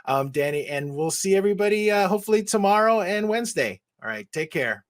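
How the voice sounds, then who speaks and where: A man's voice: sits high, animated, brisk. A man, hosting an online talk show in a loose, chatty way with many ums and restarts. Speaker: host.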